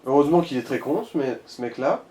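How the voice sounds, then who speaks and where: Mellow-voiced man coaching through a game. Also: medium pitch, expressive, fast talker. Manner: blunt, swearing, coaching. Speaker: co-player advising on a let's play. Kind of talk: casual gaming chat.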